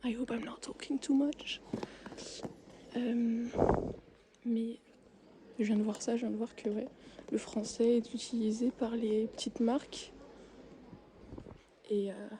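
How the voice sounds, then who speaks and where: A young woman speaking softly and often whispering while tidying shelves in a supermarket aisle, with frequent hesitations. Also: a little high, level tone, takes it slowly, pauses often, background noise. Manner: soft-spoken, drifting into whispers, many hesitations. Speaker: ASMR creator. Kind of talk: soft-spoken ASMR while tidying shelves. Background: faint store ambience.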